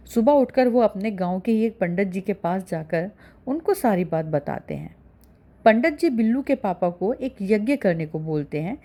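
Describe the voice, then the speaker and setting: A woman's voice: mid-range voice, mellow. A woman narrating a story for children as a storyteller.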